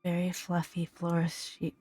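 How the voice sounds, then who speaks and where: Soft breathy woman, slow ASMR reading. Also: fairly low pitch, completely flat delivery, dry recording, soft background music. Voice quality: soft, breathy, often near-whispered. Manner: gentle, unhurried, with long pauses between phrases. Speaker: gaming video host. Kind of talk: soft-spoken ASMR, reading game entries aloud with casual asides.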